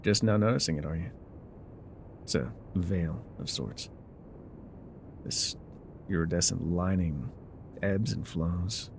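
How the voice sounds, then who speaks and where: An actor playing a gruff, guarded male trail guide in an immersive audio roleplay, with wry, folksy, laconic phrasing. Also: low-pitched, slow, long pauses, darkish tone, a little hiss or hum, full character voice. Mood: quiet, awed. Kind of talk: immersive ASMR roleplay acting, talking to the listener.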